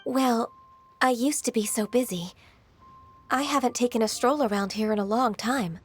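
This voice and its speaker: Game heroine: actress as a dutiful, wistful young woman, formal and gentle, fairly high voice. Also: moderate pace, close to an everyday voice. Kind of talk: video game character acting. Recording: faint music behind.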